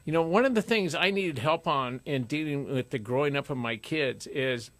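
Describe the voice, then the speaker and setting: A middle-aged man hosting a radio talk show in a studio, talking loudly and forcefully with jokes. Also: on the high side, moderate pace, dry recording. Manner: loud, forceful, joking.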